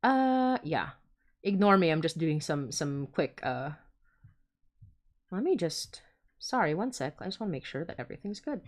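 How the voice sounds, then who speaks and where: Woman streamer just chatting, kinda low voice, rambly, keeps interrupting herself. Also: sing-song, moderate pace, long pauses. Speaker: podcast host and creator. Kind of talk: casual livestream chat.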